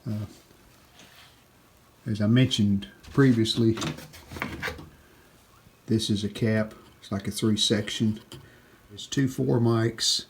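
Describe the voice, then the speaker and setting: Man thinking aloud, clearly noisy recording. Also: on the low side, steady tone, slow pace, pauses often. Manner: unscripted, thinking aloud in short phrases while working. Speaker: hobbyist radio restorer presenting a repair video. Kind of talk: casual hands-on repair commentary. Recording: clearly noisy home-video sound.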